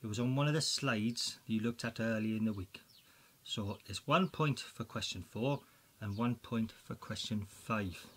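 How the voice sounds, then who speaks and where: Excitable Welsh man hosting a quiz. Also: lowish voice, measured pace, slightly rough. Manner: chatty and excitable, with jokey asides. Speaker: quiz presenter for school pupils. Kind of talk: playful quiz commentary for children.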